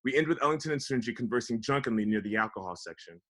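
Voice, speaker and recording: Guy reading out the stage directions at a script table read, average pitch. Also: animated, very fast, fairly bright tone. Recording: online video call table read.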